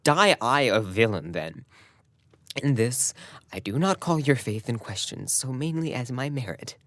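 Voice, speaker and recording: Ardent young warrior-lover, female actor, very deep register, earnest Shakespearean verse, dry audio-drama sound. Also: animated, unhurried, no room sound. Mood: jealous pleading. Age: young adult. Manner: earnest, declamatory verse delivery.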